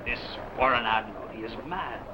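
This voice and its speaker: An actor playing a scheming Spanish sailor who questions people with sly menace, in an old adventure serial. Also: male voice, mid-range voice, a little fast, lightly acted. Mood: conspiratorial. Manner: sly, interrogating, sardonic. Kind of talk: old-time science fiction adventure serial acting. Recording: noisy old serial soundtrack, constant background hiss.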